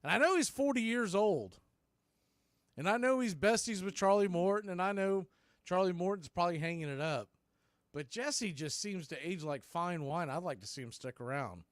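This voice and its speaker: A man's voice: high-pitched, lively intonation, normal speed, dry recording. A man hosting live baseball play-by-play, giving punchy calls and folksy, opinionated commentary. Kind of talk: live radio sports commentary.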